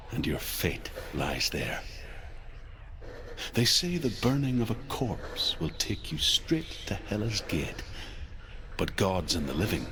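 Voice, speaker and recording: Solemn male storyteller guide, video game, extremely deep voice, slow, foreboding, clear ambient noise behind. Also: exaggerated voice. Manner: slow, grave.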